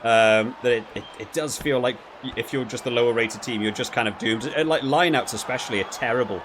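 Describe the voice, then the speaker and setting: A man with a mid-range voice comments casually as he plays a video game. He thinks aloud in halting, repeated phrases and picks critically at what he sees. Faint noise sits behind his voice. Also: mid-range voice, a bit bright. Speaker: gaming video creator reviewing while playing.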